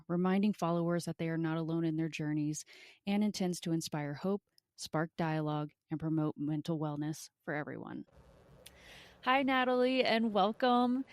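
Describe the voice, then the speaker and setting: A woman's voice: low voice, a little mellow, dry recording, clean studio sound. A woman hosting an interview podcast, with scripted introductions and questions for a guest. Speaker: podcast host.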